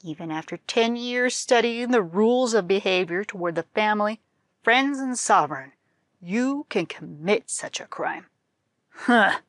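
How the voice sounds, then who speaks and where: A woman's voice: mid-range voice, lively intonation, measured pace, no room sound, studio quality, natural voice. A woman voicing a stern, righteous male government minister in a folktale, scolding formally with indignation. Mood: angry scorn.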